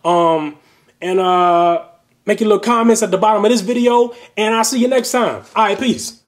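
A man's voice: high-pitched, normal speed. A young man giving casual fitness tips in a video response, rambling loosely with lots of fillers and restarts, recorded on a webcam in a small bedroom. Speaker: fitness vlogger. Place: small bedroom at home, indoors.

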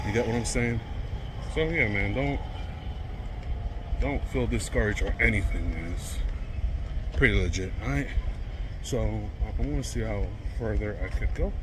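A man's voice: fairly low pitch, moderate pace, long pauses, noisy background. An American man talking casually in a chatty, colloquial way as he films a walking travel vlog outdoors. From United States. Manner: laid-back, colloquial, chatty. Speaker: travel vlogger. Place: outdoors on town streets.

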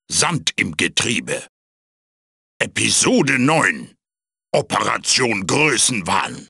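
A male actor voicing a wise, quirky wooden mask spirit in a video game, raspy, theatrical and wry as he guides the listener. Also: medium pitch, sharp tone, no room sound, studio quality, full character voice. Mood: grand announcing.

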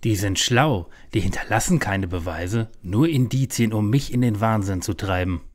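A man's voice: lowish voice, moderate pace, close to an everyday voice. Man playing a paranoid conspiracy believer. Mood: agitated. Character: paranoid, overwrought man fearing a world conspiracy. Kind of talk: parody audio drama acting.

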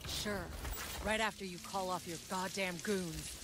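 A woman's voice: lowish voice, fairly even intonation, unhurried, background noise, close to an everyday voice. A woman playing a tough, defiant, sardonic frontier soldier in a video game cutscene. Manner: blunt, sardonic. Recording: loud gunfire and ambience behind.

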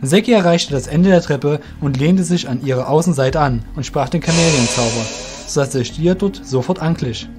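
A man's voice: a little high, moderate pace. A male narrator tells a fantasy story in scripted audio drama style. Faint music plays behind his voice.

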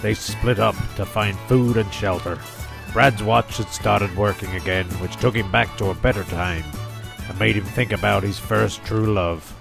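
Male narrator, gravelly, mid-pitched, for a scripted comedy tale over music. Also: normal speed. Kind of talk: scripted comic storytelling narration.

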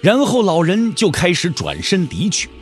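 A man's voice: high voice, wildly swooping pitch, moderate pace, slight background noise. A man narrating a martial-arts audio drama in a dramatic, scripted storytelling style.

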